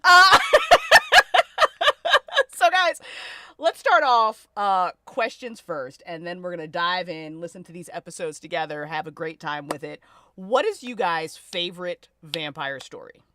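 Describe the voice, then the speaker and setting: Female host, bright and dry-recorded, playful and slangy in relaxed group banter. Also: lowish voice, a little slow, dry recording. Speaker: host of a podcast listen event. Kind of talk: casual group chat.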